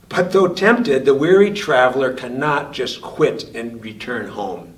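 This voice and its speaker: A physician and author reading his own essay aloud in a slow, measured, deliberate cadence. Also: medium pitch. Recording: roomy sound from a distance.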